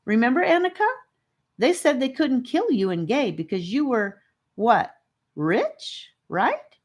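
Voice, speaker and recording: Lady doing a little boy piping up, high and chirpy, blunt, kids storybook. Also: sing-song, measured pace. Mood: eager. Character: small eager boy, frank and matter-of-fact.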